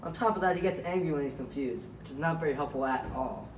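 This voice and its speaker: A man's voice: a little high, fast, close to an everyday voice. A man playing a caring, cautious rabbit leader in an amateur puppet show, earnest and concerned. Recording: echoey, noisy room, far from mic.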